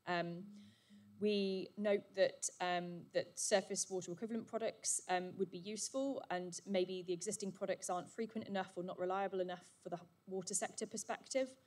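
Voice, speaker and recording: A woman giving a brisk, upbeat summary at a conference, with frequent "um" fillers. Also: medium pitch, steady tone, darkish tone. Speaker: session chair presenting summaries. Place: conference hall. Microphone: handheld or podium microphone.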